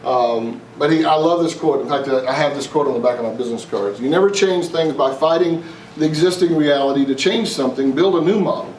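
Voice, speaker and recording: Homme texan à un séminaire. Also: male voice, on the high side, brisk, darkish tone. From East Texas. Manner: folksy, rhetorical questions to the room. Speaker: investment speaker. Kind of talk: live seminar talk to an audience. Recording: roomy, distant, faint noise.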